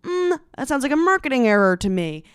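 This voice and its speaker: Clear-voiced American comedian telling gossip. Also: female voice, high voice, a little fast, no room sound, clean studio sound. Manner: animated storytelling, slangy, sarcastic, dramatic emphasis. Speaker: comedian, podcast host. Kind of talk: casual comedic podcast monologue.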